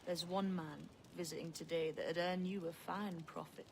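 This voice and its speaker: An actress voicing a sly, cool young pirate in a video game cutscene, talking in a dry, knowing, unhurried way. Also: female voice, lowish voice, steady tone, vocal fry, a little hiss or hum. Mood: scheming, matter-of-fact.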